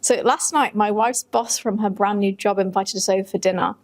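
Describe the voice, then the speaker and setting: A female podcast co-host speaks informally, as in casual chat or reading a story out to a friend. Her pitch is average for a woman. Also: steady tone, fairly quick.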